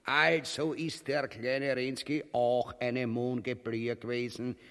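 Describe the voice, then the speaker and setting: Pompous cowardly tyrant, cavernous reverb. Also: male voice, mid-range voice, little pitch movement, takes it slowly, fairly bright tone, clean tone. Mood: boastful, pompous. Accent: southern German-Austrian dialect. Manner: pompous, blustering, mock-solemn. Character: bombastic, cowardly, boastful petty-bourgeois tyrant, grotesque. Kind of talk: grotesque comedy radio play. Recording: long reverberant hall sound.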